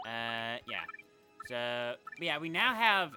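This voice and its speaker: A man's voice: medium pitch, very animated, slow. A man casually commentating his gameplay in a chatty, rambling way, often correcting himself mid-sentence. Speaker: let's play gamer. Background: game music.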